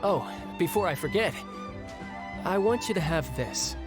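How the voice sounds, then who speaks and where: Confident, easygoing young warrior. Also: male voice, sits high, lively intonation, unhurried. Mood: easygoing, playful. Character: confident young male warrior, easygoing, battle-hungry, reflective. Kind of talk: video game character dialogue. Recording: music behind the voice.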